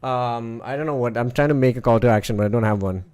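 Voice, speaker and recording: A man's voice: medium pitch, quick pace, a little mellow, clear, resonant tone. A man with an Indian accent hosting a casual livestream chat in an informal, rambling way. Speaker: host of an online table read.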